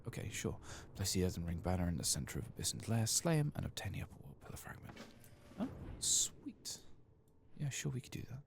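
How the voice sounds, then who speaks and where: A man giving hushed, low-key ASMR game commentary, murmuring softly and sometimes dropping into a whisper. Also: big pitch swings, brisk, vocal fry, background noise. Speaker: video game streamer.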